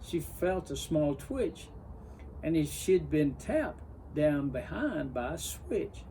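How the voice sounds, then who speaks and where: Slow, mellow man reading a storybook. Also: a little high, lively intonation, a little hiss or hum. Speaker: story reader. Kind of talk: expressive children's storybook narration.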